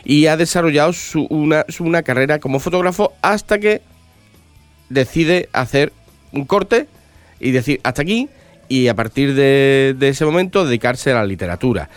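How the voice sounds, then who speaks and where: A man hosts a radio book show while fighting a cold, so his voice comes out nasal and congested. He talks in a halting way, full of fillers and restarts. Faint music runs behind him. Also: on the high side, lively intonation, dry recording. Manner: hesitant, with many fillers and restarts. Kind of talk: casual radio interview.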